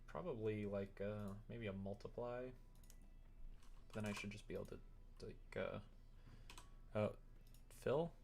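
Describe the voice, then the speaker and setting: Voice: medium pitch, unhurried, gravelly, pauses often, a little mellow, slight background noise. A livestreamer chatting casually and muttering to themselves while working, in an informal, self-deprecating way. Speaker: live streamer.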